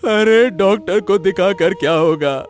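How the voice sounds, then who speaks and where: A man's voice: high voice, unhurried, soft background music. A man voicing an emotional elder brother, a village farmer, in a dramatic, emphatic cartoon story style. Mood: bitter, accusing.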